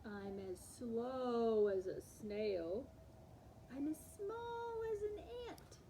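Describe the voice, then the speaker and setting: A woman hosting storytime, reading picture books aloud to young children in an expressive, animated way that acts out the words. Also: fairly high pitch, gravelly, a little hiss or hum, low-quality recording. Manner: animated, acts out words with slowed and varied delivery. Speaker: storytime host.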